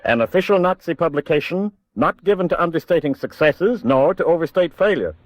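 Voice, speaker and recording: An actor playing a precise, authoritative announcer in a wartime documentary radio drama. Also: male voice, fairly high pitch, animated, a little fast, dry recording, natural voice. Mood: measured, dry. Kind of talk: formal documentary radio narration.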